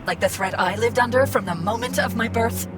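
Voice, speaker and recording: A woman plays a rogue female artificial intelligence from a video game, proud and commanding. She speaks with sardonic grandeur and a self-righteous edge. Loud music runs behind her. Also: on the high side, fairly quick, lightly acted. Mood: bitter. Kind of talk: video game cutscene acting.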